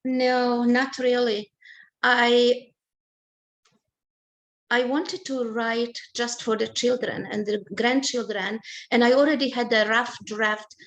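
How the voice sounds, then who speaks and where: An older woman, speaking in a slightly high voice. She talks gently and haltingly, often restarting her sentences as she searches for the words. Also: fairly even intonation. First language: Hungarian. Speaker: author being interviewed. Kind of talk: personal recollection in a book talk.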